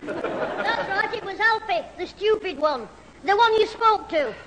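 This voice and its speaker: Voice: very high voice, lively intonation, a little slow, crowd noise, noisy background. An actor playing a cheeky, scheming schoolboy in a 1970s radio sitcom. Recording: reverberant old radio recording before audience.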